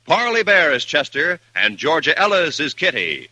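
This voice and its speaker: A male radio announcer reading the credits in a crisp, emphatic style. His voice is very bright and lively, raised a little, and sounds dry and close. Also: on the high side, normal speed, no echo, rough recording.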